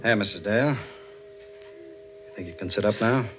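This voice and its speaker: An actor playing a skeptical, gruff police detective, dismissive and matter-of-fact, in a 1940s radio mystery. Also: male voice, fairly low pitch, normal speed, soft background music, close to an everyday voice, rough recording. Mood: wry.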